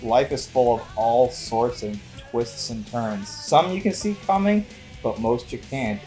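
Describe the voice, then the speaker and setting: A man's voice: medium pitch, unhurried, dark tone, faint music behind. A man co-hosting a casual movie review, with a wry, mock-serious way of talking. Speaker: movie review show co-host. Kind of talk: casual banter and film review.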